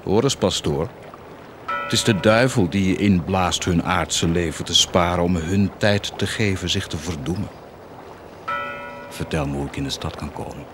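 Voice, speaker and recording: An actor playing a cynical, taunting war commander in a radio drama, mocking and insistent, moving between murmurs and outbursts. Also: male voice, low-pitched, moderate pace, noisy background, lightly acted. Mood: insistent, persuasive.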